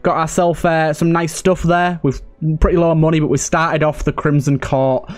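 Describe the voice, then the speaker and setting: A man's voice: on the high side, little pitch movement, moderate pace, faint music behind. A man hosting a let's play, chatting casually and thinking aloud as he goes. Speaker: gaming video host.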